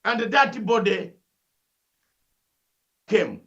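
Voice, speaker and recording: A man's voice: high voice, sing-song, extremely slow, fairly bright tone, lo-fi sound. An older man with a Ugandan accent, speaking as an analyst guest in an impassioned, preachy way, firing rhetorical questions and repeating his points. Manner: emphatic, preachy, full of rhetorical questions and repetition. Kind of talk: impassioned interview commentary.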